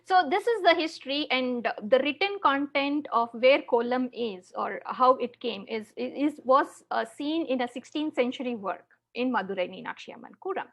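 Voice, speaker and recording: A woman with a high voice and a South Indian accent teaches an online class over a video call. Her delivery is halting, with words often repeated as she explains her slides. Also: gravelly, a bit bright. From Chennai, South India. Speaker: teacher, online conference presenter. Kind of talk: interactive online lesson with slides.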